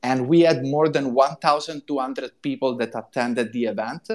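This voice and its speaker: A man's voice: medium pitch, steady tone, moderate pace, darkish tone. Man, Italian accent, eager, explains step by step, live audio room guest. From Italy. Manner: enthusiastic, explains step by step in non-native English. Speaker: guest, crypto consultant.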